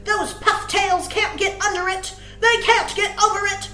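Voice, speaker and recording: A woman's voice: very high-pitched, fairly even intonation, unhurried, pushed and bright, reverberant, soft background music, pitched above the performer's own voice, full character voice. A woman voicing a determined, indignant man who gardens, in a children's storybook, with an emphatic, huffy, boastful delivery. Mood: boastful, defiant.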